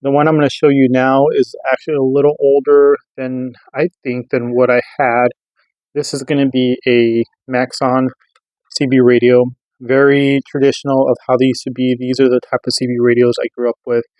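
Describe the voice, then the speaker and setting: American man with an even, dry-recorded delivery. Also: medium pitch, normal speed, dry recording, dull audio. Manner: rambling, informal explaining. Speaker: radio reviewer. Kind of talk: casual product review monologue.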